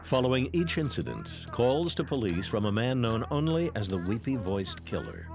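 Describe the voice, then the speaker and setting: A man's voice: medium pitch, wildly swooping pitch, normal speed, gravelly, music in the background. A man with an American accent reading a scripted true-crime documentary narration.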